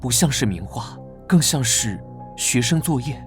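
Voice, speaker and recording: An actor playing a serious, easily exasperated young male investigator in an animated drama, blunt and no-nonsense. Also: medium pitch, normal speed, soft background music, close to an everyday voice. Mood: focused. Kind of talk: Chinese animated drama dubbing.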